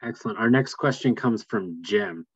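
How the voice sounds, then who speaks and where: Man, fairly low pitch, moderator reading questions, dry and slightly muffled video-call sound. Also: moderate pace, breathy edge, dry recording. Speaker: moderator, staff.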